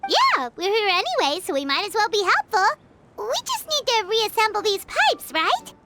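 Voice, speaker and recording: A woman voicing a tiny, eager, cheeky fairy companion in an animated game style, with a squeaky childlike voice. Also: moderate pace, music in the background, exaggerated voice. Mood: chirpy, curious. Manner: refers to self in third person, exclamatory. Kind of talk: animated video game voice acting.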